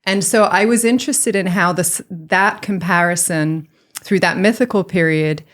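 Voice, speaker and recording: A woman's voice: fairly low pitch, normal speed. A woman talking as a guest, explaining things in a thoughtful, articulate, conversational storytelling way. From grew up outside Finland, Finnish parents. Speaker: guest, writer and amateur sauna historian.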